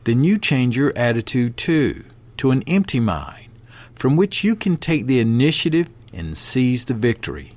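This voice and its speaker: A man hosting a podcast, reading a passage aloud and adding his own commentary in a measured way. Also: medium pitch, sing-song, a little mellow. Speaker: podcast host.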